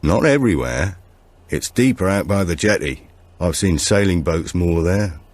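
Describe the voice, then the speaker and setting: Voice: low voice, lively intonation, moderate pace, darkish tone, no room sound. A man voicing an old retired seaman recalling local lore in an audiobook thriller. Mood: reminiscing.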